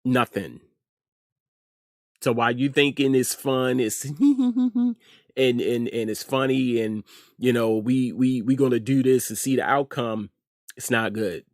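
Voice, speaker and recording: A man's voice: medium pitch, sing-song, measured pace, no echo, clean studio sound. A middle-aged American man hosting an informal opinion commentary, speaking emphatically and repeating phrases for effect. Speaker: host of an online news commentary show.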